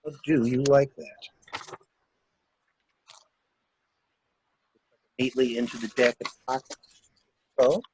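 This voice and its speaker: A man in an amateur mystery drama reading, playing a cool-headed gentleman stranger who briskly and wryly takes charge of a cover-up. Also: mid-range voice, fairly even intonation, slight background noise, close to an everyday voice, poor audio. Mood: jaunty, absorbed.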